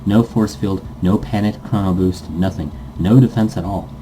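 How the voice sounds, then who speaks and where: A man's voice: low-pitched, normal speed, warm tone, slight background noise, low-quality recording. A man reading a story aloud as a let's player, stumbling over words now and then and breaking into goofy asides. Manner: stumbles over text and self-corrects, goofy. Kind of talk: story narration read aloud with playful asides.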